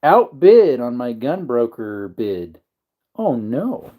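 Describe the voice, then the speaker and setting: A man's voice: mid-range voice, sing-song, slow pace, dark tone. A man hosting a casual livestream, rambling and joking while greeting viewers in the chat. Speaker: livestream host.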